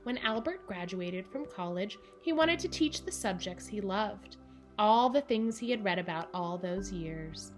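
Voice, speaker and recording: A woman reads a children's picture book aloud in a mid-pitched voice. Her phrasing is measured and deliberate, with pauses for drama, and music plays clearly behind her. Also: animated. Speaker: storybook reader for children.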